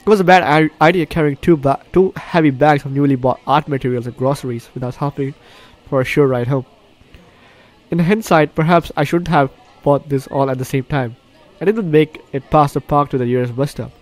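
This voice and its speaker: A man's voice: fairly high pitch, fairly quick. A man hosting a game playthrough, reading the story aloud with reactions, often stumbling and correcting his words. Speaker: gaming video host. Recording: game music behind the voice. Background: game music.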